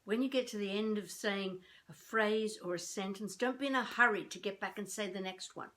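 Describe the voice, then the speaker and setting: An older woman from Australia giving improvised instruction as a voice coach, speaking deliberately in spaced phrases with theatrical emphasis. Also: mid-range voice, a bit bright. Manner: deliberate, with spacious pauses between phrases. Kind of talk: improvised instructional talk to camera.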